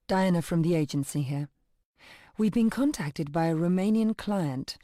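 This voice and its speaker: A polished female intelligence handler from a video game, played by an actress. Her lowish voice is recorded close and dry, delivering formal, measured mission briefings with businesslike composure. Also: dark tone, dry recording, lightly acted. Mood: composed, briefing.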